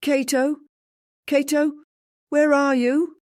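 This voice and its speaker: A desperate mother, played by a female storyteller in a dark folktale. Her voice leaps high and urgent, full of anguish, in a dry recording. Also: flat delivery, takes it slowly, dry recording. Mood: frightened calling. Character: desperate mother searching for her lost son.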